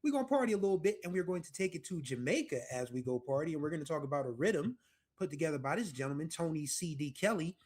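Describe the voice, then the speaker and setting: An American man in his forties talks through a music episode in a loose, chatty way, wandering into asides and correcting himself as he goes. His voice sits a little higher than most men's. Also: animated, quick pace, darkish tone, breathy edge. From United States. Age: 40s. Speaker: music podcast host. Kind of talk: casual music commentary.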